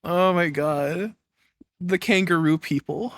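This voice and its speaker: A man's voice: high-pitched, slow, a bit bright, no room sound. A man giving casual, off-the-cuff reaction commentary, rambling and joking with some exasperation and swearing. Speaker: reaction streamer.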